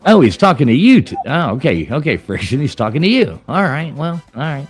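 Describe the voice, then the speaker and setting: A man's voice: on the high side, big pitch swings, fairly quick, slightly rough, faint music behind. A man hosting a casual livestream chat from a pub, chatty and rambling, laughing often. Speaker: livestream host. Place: Irish pub.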